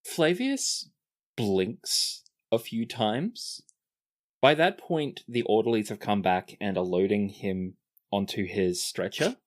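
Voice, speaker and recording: A man narrating as game master of a tabletop game, relaxed and chatty, correcting himself as he goes. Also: lowish voice, slow.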